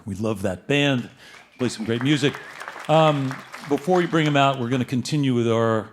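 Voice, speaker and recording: A man's voice: mid-range voice, darkish tone. A man hosting a live radio show on stage, introducing and interviewing guests in a conversational way. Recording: live stage with audience crowd.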